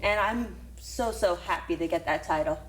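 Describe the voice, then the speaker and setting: A woman's voice: lowish voice, normal speed, a little hiss or hum, rough recording. A woman teacher from Florida, earnest and enthusiastic as she answers interview questions about her career. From Florida, USA.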